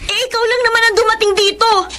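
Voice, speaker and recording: A young Filipino schoolgirl sister in a radio drama. Her voice is piercingly high and bright. She has a whiny, pleading way of talking. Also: steady tone, quick pace, a little hiss or hum. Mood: accusing.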